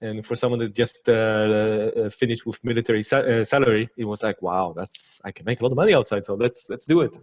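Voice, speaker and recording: A man in his forties, originally from Israel, speaking as an interview guest with a relaxed, chatty manner. His voice sits a little low. The sound is slightly dull and lo-fi, like a remote call. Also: brisk, hardly any pauses. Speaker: guest, security executive. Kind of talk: casual interview.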